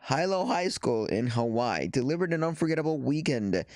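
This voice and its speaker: A man with a congested, stuffy nose talks through softball results and headlines in a halting way, stopping for fillers and restarts. His voice is smooth and even, and it sounds dry and close, with no room around it. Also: medium pitch, no echo. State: stuffy nose after the flu. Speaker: softball journalist hosting a show. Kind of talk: casual commentary and reading news aloud.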